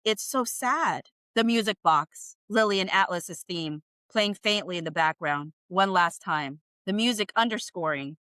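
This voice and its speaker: A woman with a bright, clear-edged voice in a very dry, close sound. She is expressive and earnest, moving between dramatic script reading and casual, emotional reaction. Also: lowish voice, no echo. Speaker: host reading a screenplay aloud and reacting.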